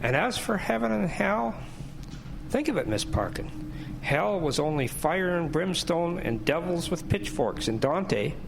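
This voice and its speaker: Man acting gravelly, wry, expressive minister. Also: fairly high pitch, background noise, exaggerated voice. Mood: solemn. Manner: deliberate, wry, preacherly. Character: measured, gently provoking male minister, solemnly philosophical. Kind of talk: scripted audio drama acting.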